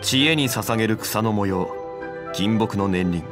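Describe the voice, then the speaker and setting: A man playing a composed, scholarly gentleman in an anime game, speaking formally and with measured dignity. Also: fairly low pitch, over music, close to an everyday voice. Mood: composed, admiring. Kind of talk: anime game character acting.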